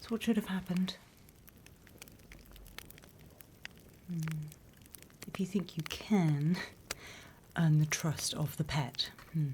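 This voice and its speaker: A sly demon instructor, played by a woman with a British accent, mostly whispering close to the mic. The delivery is low, slow and measured, dryly conspiratorial with quiet menace. It is an ASMR roleplay with faint noise behind the voice. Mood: dry, instructive. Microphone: close microphone.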